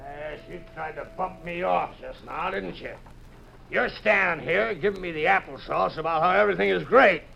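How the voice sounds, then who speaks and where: An actor playing a surly, suspicious crooked ship's captain in a 1950s radio drama, gravelly, with blustering tough-guy slang. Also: high-pitched, moderate pace, sharp tone, full character voice. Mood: accusing, angry. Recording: dull, hissy 1950s radio recording.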